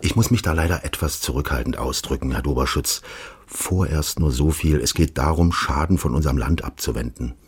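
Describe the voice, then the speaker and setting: An actor playing a secretive, quietly menacing official in a radio crime drama, measured, formal and insinuating. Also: male voice, a bass voice, husky, full character voice. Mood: controlled, secretive. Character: smooth-mannered, menacing, secretive official recruiting a detective.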